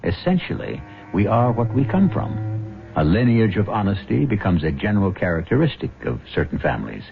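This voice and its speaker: A man hosting a radio drama, narrating a script in a measured, philosophical, theatrical way. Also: lowish voice, wildly swooping pitch, gravelly. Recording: dull old radio recording.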